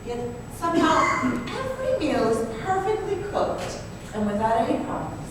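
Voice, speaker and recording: A woman's voice: sits high, very animated, moderate pace. A woman playing a naive, anxious, wealthy young wife who confides hesitantly in an old-time radio drama. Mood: puzzled, earnest. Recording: noisy, echoey hall from far off.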